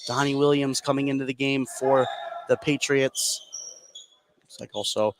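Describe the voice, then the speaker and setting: A man's voice: medium pitch, moderate pace, background noise. A man doing live play-by-play basketball commentary for radio, lifting his voice as the action builds. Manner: rises in excitement on shots and steals.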